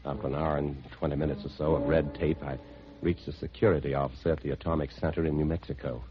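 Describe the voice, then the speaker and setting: Deep-voiced, earnest American radio-drama lead. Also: male voice, level tone, fast, music in the background. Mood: tense narration. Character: worried, insistent man searching for his missing brother, narrating. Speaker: lead actor and first-person narrator. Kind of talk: 1950s radio drama acting. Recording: muffled old 1950s radio recording.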